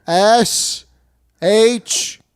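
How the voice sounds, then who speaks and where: A man voicing a meek, overly polite middle-aged intruder who talks slowly and earnestly. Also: high-pitched, clear, resonant tone, no echo, clean studio sound, pitched above the performer's own voice. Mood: sheepish. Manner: apologetic, slow, earnest.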